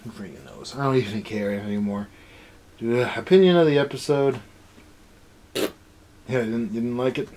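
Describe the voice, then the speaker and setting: A man's voice: medium pitch, expressive, normal speed, pauses often, a little mellow. A young man hosting a casual review chat, rambling along in an exasperated way. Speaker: review show host. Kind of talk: casual rant-style episode review chat.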